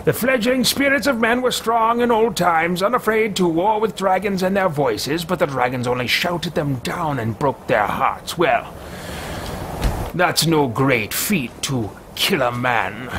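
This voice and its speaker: Male streamer voicing a pompous, self-absorbed mage; drawn-out, lilting delivery, home desk setup. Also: a little high, animated, noisy background. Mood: haughty. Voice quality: pompous, grandiose. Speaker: let's play host roleplaying a character. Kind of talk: in-character roleplay over a video game. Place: room at home, indoors.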